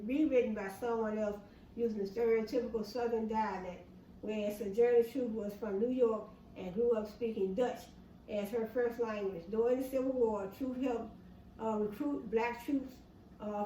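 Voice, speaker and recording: A woman talking through history notes in a halting, fill-in-the-gaps way, rereading lines and then putting them in her own words. Her voice sits a little high but sounds mellow and soft-edged. She is set back from the mic in an echoey room with a faint hum behind her. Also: distant mic. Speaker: host reading history notes. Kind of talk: casual reading and explaining aloud.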